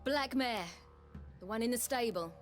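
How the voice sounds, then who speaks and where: A daring young swordswoman from a video game, acted by a woman, with a fairly high voice. She is wry, self-assured and sparing with words, teasing as she talks. Light music plays behind her. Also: moderate pace, lightly acted. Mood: challenging. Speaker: voice actor in a game. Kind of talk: dramatic game acting.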